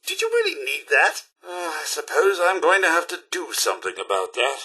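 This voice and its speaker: Prim, high-voiced British robot butler. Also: male voice, wildly swooping pitch, moderate pace, pushed and bright, slightly rough, full character voice. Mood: indignant. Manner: formal, deferential, theatrical butler speech. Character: fussy, prim, loyal male robot butler, drily sarcastic. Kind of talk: scripted video game voice lines.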